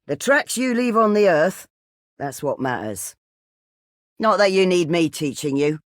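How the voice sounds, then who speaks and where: An actor voicing a calm, weathered mentor in a scripted video game line. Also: medium pitch, measured pace, no echo, clean studio sound. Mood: solemn, fond.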